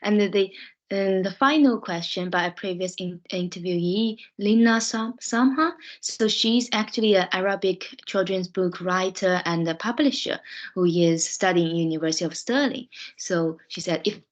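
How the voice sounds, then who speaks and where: A woman's voice: mid-range voice, moderate pace. A woman podcast host leading an interview, encouraging the guest with many short affirming replies. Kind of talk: interview conversation.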